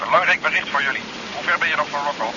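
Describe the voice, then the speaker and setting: Man playing a very fast-talking general, noisy line. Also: a little high, natural voice. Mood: urgent. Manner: clipped, insistent questioning. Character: brisk, commanding general at a radio station. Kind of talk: 1970s radio drama acting. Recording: dull, noisy radio-link sound, engine drone.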